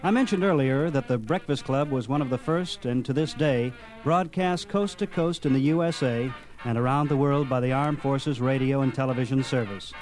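A man's voice: mid-range voice, moderate pace, darkish tone, faint music behind. A man hosting a radio history program in scripted narration.

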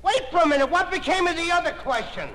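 A man's voice: extremely high pitch, lively intonation, quick pace, fairly bright tone. A man playing a belligerent, dim, stubborn wise guy on an old-time radio comedy quiz, arguing in streetwise slang. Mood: pugnacious. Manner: pugnacious, argumentative, streetwise slang. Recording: old radio broadcast, echoey hall, audience.

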